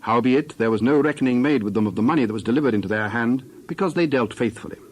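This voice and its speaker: A man's voice: medium pitch, fast talker, a little hiss or hum. A man giving a scripted narration of scripture as the narrator of a dramatized reading.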